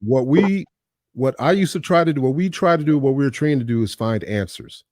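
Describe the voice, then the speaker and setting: A man who is a retired police officer, calmly explaining police work from experience and restarting phrases as he goes. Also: medium pitch, dry recording, clean studio sound. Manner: measured, restarts phrases, frequent "you know".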